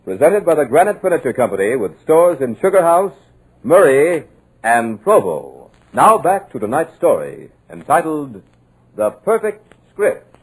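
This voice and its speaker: A man's voice: on the high side, sing-song, unhurried, a little hiss or hum, poor audio. A man reading a formal scripted announcement for a radio drama.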